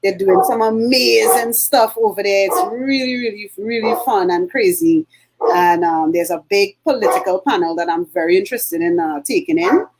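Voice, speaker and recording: A woman's voice: mid-range voice, lively intonation, normal speed, background noise. Chatty Trinidadian woman co-host. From Trinidad and Tobago. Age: middle-aged. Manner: chatty, many fillers and throat clearing. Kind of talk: casual chat.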